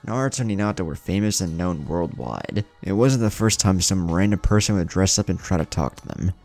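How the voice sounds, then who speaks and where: A man's voice: deep voice, lively intonation, a little fast, darkish tone, faint music behind. A man reading scripted story narration as the narrator.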